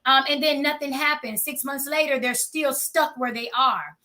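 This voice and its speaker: A woman's voice: high-pitched, normal speed, pushed and bright. A young woman, a company founder, answering interview questions earnestly, often saying you know. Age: young adult.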